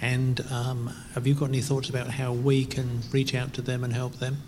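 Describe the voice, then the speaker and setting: A man in the congregation hesitantly asking the preacher a question, with many pauses and ums. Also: medium pitch, monotone, a little mellow. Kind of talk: question from the floor. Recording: long hall reverb, faint hiss.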